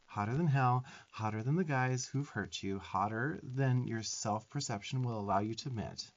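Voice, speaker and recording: A sassy little demon twink, acted by a man for roleplay ASMR, with an average-pitched male voice. He scolds emphatically and profanely, bossy yet openly fond of the listener. The audio is slightly dull. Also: normal speed, lightly acted. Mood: fierce affection.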